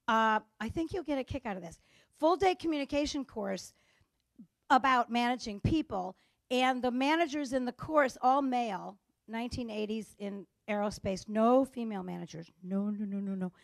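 A woman with a Chicago accent giving a humorous conference talk on gender differences, telling stories and acting out dialogue with lively delivery. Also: high voice, vocal fry, dry recording. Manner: lively storytelling with acted-out dialogue. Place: conference stage before an audience.

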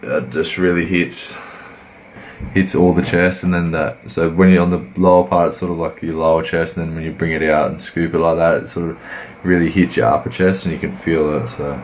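A man, croaky from a cold, giving laid-back, rambling voice-over commentary on his workout. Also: low-pitched, fairly even intonation, a little fast, darkish tone, slight background noise. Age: 20s. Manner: laid-back, rambling, many fillers and restarts. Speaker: fitness vlogger.

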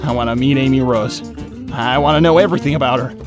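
A man's voice: medium pitch, fast talker, close to an everyday voice. A man voicing a shy, nervous, lovestruck young hedgehog with a stammering, self-scolding manner in a comic dub. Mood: anxious, determined. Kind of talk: animated comic dub acting. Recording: music bed behind voice.

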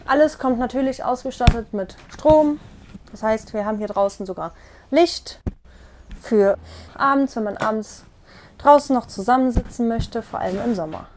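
A woman's voice: fairly high pitch, normal speed, darkish tone, slight background noise. A young woman casually showing viewers around a small caravan, explaining as she walks and points, recorded on a lapel mic. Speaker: vlogger giving a tour. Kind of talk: casual room tour.

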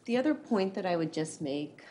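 A woman advising a board at a public meeting, explaining in a measured, hesitant way. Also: on the low side, animated, dark tone. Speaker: school official advising the board. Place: public meeting room, indoors. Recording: noisy meeting room recording.

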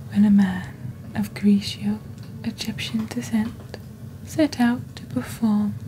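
A woman acts out a calm witch hosting a magical shopping channel in soft ASMR. Her voice is breathy and nearly whispered, very dark and mellow, with slow, deliberate phrasing. It is recorded close on the mic over faint background noise. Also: medium pitch, natural voice, rough recording. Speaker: host of an ASMR roleplay channel. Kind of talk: soft-spoken ASMR scripted product presentation. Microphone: close desk microphone.

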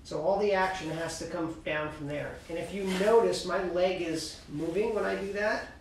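A middle-aged man talks as a ski coach, walking through foot and boot mechanics with steady cues. His voice sits a little high with some gravel in it, and it bounces around a hard, roomy workshop. He sounds well off the mic, with a faint hum of room noise under him. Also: normal speed. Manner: explains calmly with coaching cues while demonstrating. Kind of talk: instructional demonstration. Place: cluttered indoor ski workshop. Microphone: far camera. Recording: echoey room, mic far off.

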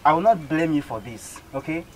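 A man's voice: a little high, animated, a little hiss or hum, natural voice. A man playing a stern company boss. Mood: indignant. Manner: measured, then indignant and scolding. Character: stern middle-aged male company CEO. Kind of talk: amateur skit acting.

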